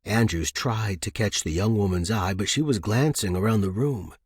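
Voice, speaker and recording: A man's voice: fairly low pitch, normal speed, breathy edge, dry recording, clean studio sound. A man reading scripted fiction as an audiobook narrator.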